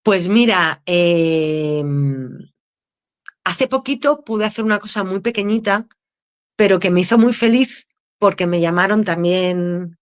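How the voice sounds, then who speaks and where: Candid actress with a canarian accent. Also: female voice, on the low side, slow. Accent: Canarian Spanish. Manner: candid, expressive, laughs easily. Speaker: actress, guest. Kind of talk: casual interview answers.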